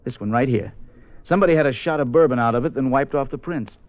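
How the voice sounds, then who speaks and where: An actor playing a friendly but doubtful homicide sergeant in 1950s radio drama, with folksy, slangy, matter-of-fact talk. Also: male voice, medium pitch, fast talker, dry recording, lightly acted. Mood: theorizing. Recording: dull, dry 1950s radio recording.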